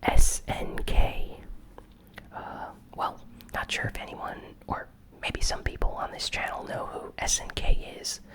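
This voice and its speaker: A host whispering an ASMR gaming news recap in a breathy, unvoiced, hushed and hesitant, unscripted way. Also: measured pace.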